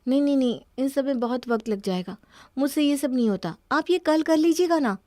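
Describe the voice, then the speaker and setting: A woman's voice: high-pitched, lively intonation, brisk, a little mellow, no echo, clean studio sound. A woman voicing an aloof young new bride in a cartoon story, wearily brushing people off. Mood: weary, reluctant. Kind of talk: Hindi cartoon story dialogue.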